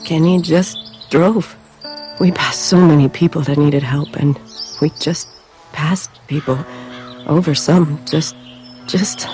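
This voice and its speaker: A kind, weary mother in a game drama. Her voice is fairly low and mellow, her delivery soft and reflective. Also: steady tone, takes it slowly, pauses often, soft background music. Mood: troubled. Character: gentle, weary mother and veterinarian, adult woman. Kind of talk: naturalistic video game acting.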